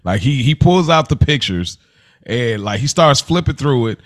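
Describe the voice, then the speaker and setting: A man's voice: mid-range voice, lively intonation, normal speed. A man hosting a podcast, recapping television in casual, slangy talk. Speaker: podcast host.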